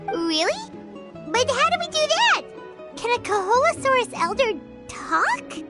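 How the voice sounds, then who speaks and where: Tiny squeaky fairy sidekick for a game, super high, swoopy, chirpy and suspicious, music behind. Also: female voice, big pitch swings, measured pace, heavily acted. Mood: doubtful, curious. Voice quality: squeaky, childlike. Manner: speaks of herself in third person, exclaiming. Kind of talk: video game voice acting. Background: background music.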